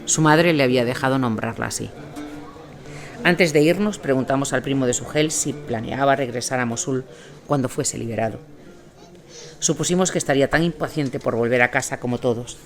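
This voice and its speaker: A man's voice: mid-range voice, a little fast. A man reading scripted documentary narration as the narrator. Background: music under the voice.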